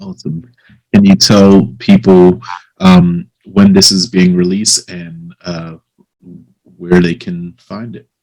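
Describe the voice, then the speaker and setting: Male interviewer, deep voice, very slow and halting, casual interview style. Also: darkish tone, poor audio. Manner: hesitant, halting delivery.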